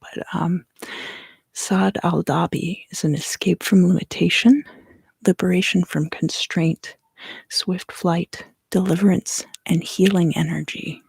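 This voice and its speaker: A woman from the southern US East Coast giving a soft, unhurried ASMR card reading in whispers, often pausing. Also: lowish voice, fairly even intonation, gravelly, slightly rough, dry recording. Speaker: tarot reader and ASMR creator.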